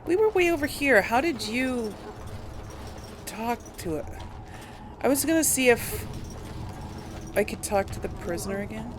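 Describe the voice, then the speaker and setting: A woman's voice: fairly high pitch, animated, a little slow, pauses often, noisy background. A woman hosting a gaming video, giving casual playthrough commentary and musing aloud hesitantly.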